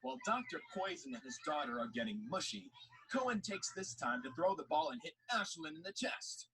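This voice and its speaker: A man's voice: on the high side, very animated, fairly quick, fairly bright tone, breathy edge, poor audio. A man reading scripted story narration for an audio drama. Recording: faint background noise.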